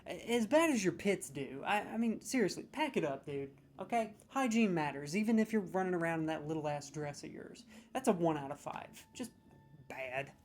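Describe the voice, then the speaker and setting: A man's voice: on the high side, expressive, normal speed, slight background noise. A man in a comedic role, scoring lines with sarcastic, mock-serious, playfully exaggerated commentary.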